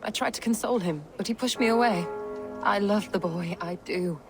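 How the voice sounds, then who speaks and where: A woman playing an earnest, troubled Saxon noblewoman in a video game, protective and measured in her speech. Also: medium pitch, music in the background, natural voice. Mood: worried, regretful. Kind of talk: video game cutscene acting.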